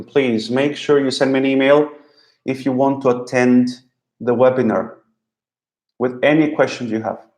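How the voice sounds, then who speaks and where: Live-stream host, a man with a Russian accent, slow halting delivery, echoey room. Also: mid-range voice, darkish tone. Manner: slow, halting, with many repeated words. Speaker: language teacher hosting a live stream. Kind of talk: live stream talk answering viewers.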